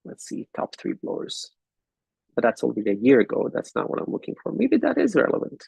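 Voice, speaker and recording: A man hosting an online business forum, talking casually and haltingly while he walks through his shared screen on a video call. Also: medium pitch, huge pitch swings, gravelly, dark tone, slightly rough, dry recording, low-quality recording. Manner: halting, thinking aloud with fillers and restarts.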